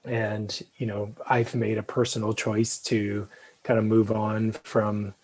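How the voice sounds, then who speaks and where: Hesitant low-voiced male panelist. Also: little pitch movement, slow, a little mellow, poor audio. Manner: hesitant, many ums and you-knows. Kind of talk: personal introduction at a panel.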